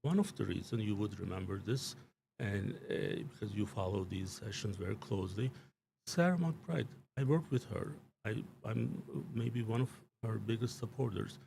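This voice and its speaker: Male studio guest: low, gravelly, emphatic, loose with fillers; slight background hiss. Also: moderate pace, warm tone. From Turkey. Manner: emphatic, rambling, frequent fillers and restarts. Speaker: show guest commentator. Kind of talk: live studio political talk. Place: small studio.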